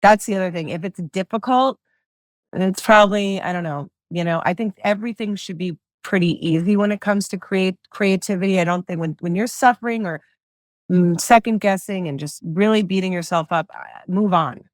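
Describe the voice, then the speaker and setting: A middle-aged woman with a low voice, a singer-songwriter guest chatting loosely in an interview, full of fillers and restarts. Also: moderate pace, dry recording. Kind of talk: casual interview chat.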